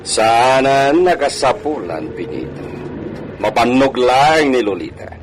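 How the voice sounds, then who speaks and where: A man playing a wealthy, courteous hacienda gentleman in an Ilocano radio drama, formal and measured, peppering his speech with Spanish. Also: medium pitch, very animated, slight background noise, poor audio. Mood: stern, instructing.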